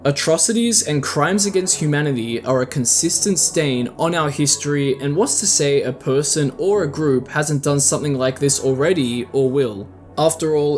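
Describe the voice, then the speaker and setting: A man's voice: a little high, darkish tone. A man delivering scripted video essay commentary in a conversational, opinionated way. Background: background music.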